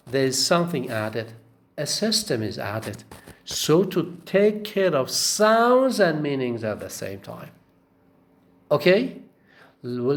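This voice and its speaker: Man, linguistics lecturer, slow-paced, lively pitch, repetitive explanations, frequent "okay?". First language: not Arabic. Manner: repeats phrases, checks in with "okay?". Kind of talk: recorded course lecture.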